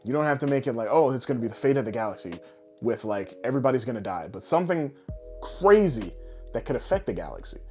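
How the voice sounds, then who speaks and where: A man's voice: medium pitch, sing-song, quick pace, mellow. Man chatting casually, music behind. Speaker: podcast co-host. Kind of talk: casual chat about games and films.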